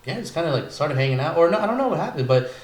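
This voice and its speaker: A man's voice: a little high, big pitch swings, racing, crowd noise. An American man in casual, slangy chat, swearing freely as he reminisces with friends. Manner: slangy, profane, addresses friends as bro and dude. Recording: echoey room with clear background noise.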